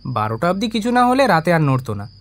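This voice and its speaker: An actor in an audio drama playing an eager, deferential small-time private investigator who earnestly defends himself to the police. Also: male voice, on the high side, big pitch swings, fast talker. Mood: eager, defensive.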